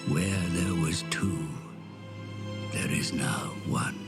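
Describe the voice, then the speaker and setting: An actor playing a grave, portentous narrator of an epic fantasy game chronicle, speaking in measured, theatrical phrases. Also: male voice, low-pitched, fairly even intonation. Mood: solemn, grave. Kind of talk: solemn dramatic scripted narration of fantasy game cutscenes. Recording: loud orchestral music behind. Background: loud cinematic game music.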